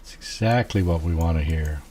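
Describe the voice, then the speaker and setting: A man with a low, soft voice, quietly talking through a video game at an unhurried pace, musing aloud with many fillers. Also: slight background noise. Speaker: gameplay streamer. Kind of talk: casual let's-play commentary.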